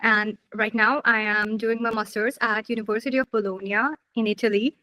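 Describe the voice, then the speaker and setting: Woman from India, higher and bright, dry sound, polite introduction. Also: flat delivery, brisk, no echo, low-quality recording. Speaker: master's student.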